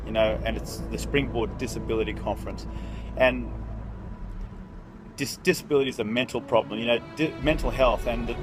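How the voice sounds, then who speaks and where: A man hosting a motivational interview, talking with energy and often restarting his phrases. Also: mid-range voice. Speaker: interviewer and host. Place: outdoors at a conference venue.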